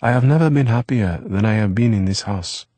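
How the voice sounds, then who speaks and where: A man voicing a gentle, wistful young man who grew up on the streets, speaking in soft, formal phrases. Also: on the low side, fairly quick, no room sound, pitched above the performer's own voice, natural voice. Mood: earnest.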